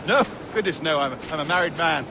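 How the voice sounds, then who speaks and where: A genteel British merchant, played by a male actor, with a highish voice and fussy, easily flustered manners. He is a timid but jolly fellow from a video game, heard over loud outdoor street noise. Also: animated, fast, natural voice. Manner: fussy, polite, flustered, hesitant. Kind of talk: video game character acting.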